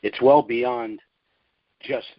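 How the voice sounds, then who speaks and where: A man hosting a conference call, delivering an informal financial update in a measured, deliberate way with pauses between short phrases. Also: medium pitch, steady tone, no room sound. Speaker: conference call host and speaker. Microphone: conference call line. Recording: dull lo-fi conference call audio.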